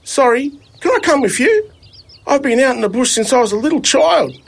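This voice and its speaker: An Australian man voicing a naive, eager young man from the bush in a raised, high voice for a storybook. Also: sing-song, fairly quick, gravelly, full character voice. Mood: pleading.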